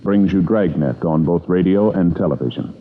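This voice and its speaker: Low-voiced formal radio announcer, tinny sound. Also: male voice, normal speed. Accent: American. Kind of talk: 1950s radio announcing, scripted. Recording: tinny old radio recording, loud brass music.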